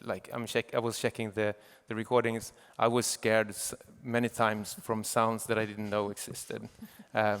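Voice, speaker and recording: A man speaking informally at a conference, haltingly searching for his words. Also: lowish voice, level tone, a bit bright, smooth. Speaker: audio drama director or producer, panel speaker. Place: conference hall stage. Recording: reverberant hall.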